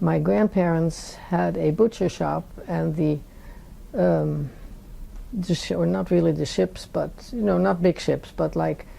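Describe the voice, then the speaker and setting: An elderly Dutch-accented woman with a deeply gravelly, dark voice. She speaks slowly in an interview, often pausing and circling back to find the right word. Her register sits fairly low for a woman. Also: fairly even intonation, slight background noise, low-quality recording. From Netherlands. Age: 80s. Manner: unhurried, searching for words. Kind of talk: oral history interview.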